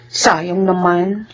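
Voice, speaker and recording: A woman acting a brash, teasing, excitable office worker in a radio drama, with a nagging, playful manner. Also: mid-range voice, breathy edge, highs cut off, full character voice. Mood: surprised, dismayed.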